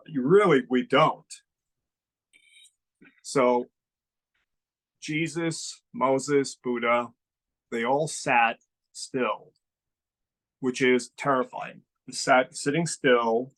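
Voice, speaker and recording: A man with a gravelly, creaky voice speaks slowly in an unscripted spiritual talk. He is reflective and keeps asking his listeners questions. His pitch sits in the middle range. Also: fairly bright tone, low-quality recording. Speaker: spiritual teacher.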